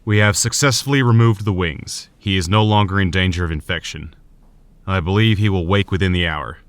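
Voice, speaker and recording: A sober surgeon in an audio drama. His voice is very deep and closely, dryly recorded, with measured clinical delivery. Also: fairly bright tone, dry recording.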